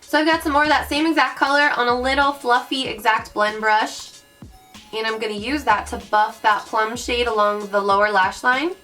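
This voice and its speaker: A young woman with a raspy voice from recent illness, chatting bubbly through a makeup tutorial and calling her viewers guys. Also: a little high, fairly even intonation, moderate pace. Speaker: beauty vlogger. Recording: mic at a distance, soft background music.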